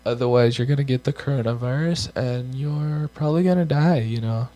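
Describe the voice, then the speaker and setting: A man's voice: medium pitch, brisk, warm tone. Teen guy losing his voice. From United States. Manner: offhand, joking asides, says "like" a lot. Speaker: co-host. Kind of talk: casual chat, reading guidelines aloud.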